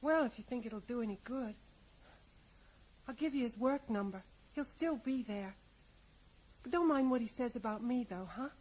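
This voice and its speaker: An actress playing a plain-spoken, resigned small-town American widow in a radio drama, rambling and often ending on a little question. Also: female voice, on the high side, normal speed, pauses often, darkish tone, natural voice, poor audio. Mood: wistful, defensive. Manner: rambling, ends remarks with tag questions. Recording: faint noise, 1980s radio play.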